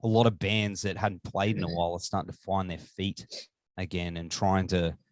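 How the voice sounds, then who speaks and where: A man's voice: sits low, fairly even intonation, moderate pace, dry recording. A man hosting a casual podcast interview, chatty and enthusiastic, with rambling questions. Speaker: podcast host.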